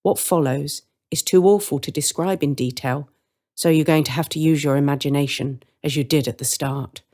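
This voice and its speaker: A woman's voice: deep voice, moderate pace, mellow. A woman reading a scripted story as a dramatic narrator.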